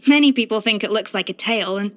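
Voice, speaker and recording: An actress voicing a proud, exacting young pastry chef in a video game, poised, self-assured and precise in manner. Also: female voice, medium pitch, fast, natural voice. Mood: poised, confident. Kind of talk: scripted video game character voice lines.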